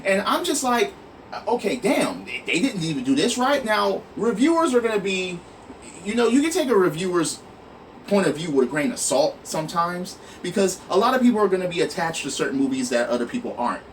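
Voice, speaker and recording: A man's voice: sits high, sing-song, brisk. A man giving an exasperated, emphatic, profanity-laced opinion rant to his online viewers. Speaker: online video host. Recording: noisy, distant mic, room sound.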